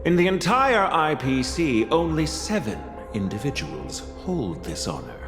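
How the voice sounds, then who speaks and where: An actor playing a courteous, composed and ambitious corporate heir in a video game, speaking in a polite, theatrical way. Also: male voice, medium pitch, very animated, measured pace. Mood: suave, poised. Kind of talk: dramatic video game voice acting. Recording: long reverb, music behind. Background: music.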